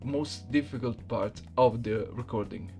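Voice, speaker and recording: Slow, gravelly man talking to viewers. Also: mid-range voice, soft background music. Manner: soft-spoken, halting. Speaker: amateur voice actor presenting his challenge video. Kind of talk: casual talk to viewers.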